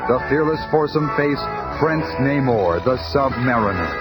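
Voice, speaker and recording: A man playing a bombastic 1970s superhero radio announcer with grandiose, drawn-out emphasis. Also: medium pitch, animated, lightly acted. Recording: old radio recording under loud music.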